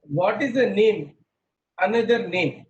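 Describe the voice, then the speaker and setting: A man's voice: sits high, lively intonation, unhurried, highs cut off. A middle-aged man teaching an online class over a video call, quizzing students and repeating himself, mixing English with Hindi. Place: small room indoors. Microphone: laptop webcam on a video call.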